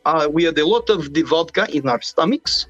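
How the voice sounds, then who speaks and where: A man's voice: fairly high pitch, animated, a little fast, fairly bright tone, highs cut off, faint music behind. A man putting on a mock Russian accent to play a boastful male tank soldier telling a rambling story.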